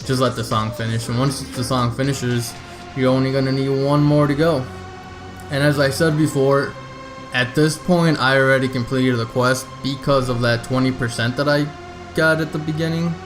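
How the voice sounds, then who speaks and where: A man's voice: medium pitch, steady tone, moderate pace, over music. A man giving a casual, step-by-step video game walkthrough, talking informally and directly to his viewers. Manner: informal, addresses viewers as "you guys".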